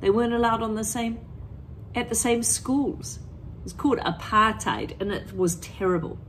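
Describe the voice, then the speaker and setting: A woman's voice: a little high, normal speed, a bit bright, a little hiss or hum, rough recording. A middle-aged woman teacher explaining things to children in plain words and reading aloud expressively from a picture book. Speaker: primary school teacher.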